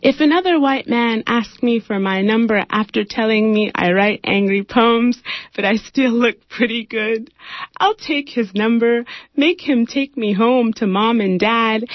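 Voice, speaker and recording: A young adult woman poet from Nigeria, talking in a radio interview with thoughtful pauses and fillers. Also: mid-range voice, no room sound. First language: Igbo. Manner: raised, pointed delivery when reading poetry. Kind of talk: radio interview, then poetry reading. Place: radio studio. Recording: muffled dry radio studio sound.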